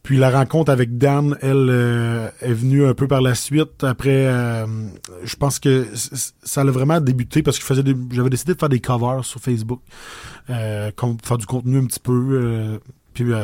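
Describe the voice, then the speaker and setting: A man with a Québécois French accent, telling his story casually as an interview guest, with many pauses and restarts. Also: medium pitch, mellow, no echo.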